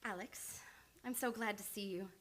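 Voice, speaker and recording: A woman's voice: medium pitch, fairly even intonation, moderate pace, a bit bright, lightly acted. Woman playing an earnest young female psychoanalyst. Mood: eager, affectionate. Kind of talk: live old-time radio play acting.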